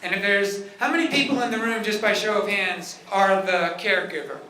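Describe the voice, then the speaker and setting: A man's voice: high-pitched, fairly quick, pushed and bright. A man hosting a conference, reading a speaker's introduction in an easygoing, jokey way. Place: conference room. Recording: echoey room.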